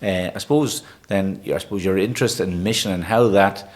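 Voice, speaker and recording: A man hosting an interview, asking questions in a gentle, hesitant way. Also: sits low, brisk.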